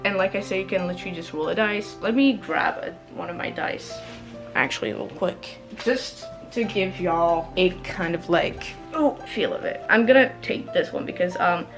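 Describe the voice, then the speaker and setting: A woman's voice: fairly low pitch, normal speed. Rambling woman, French native, music behind. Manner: rambling, self-correcting, laughs often. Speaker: book vlogger. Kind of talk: casual explaining to camera.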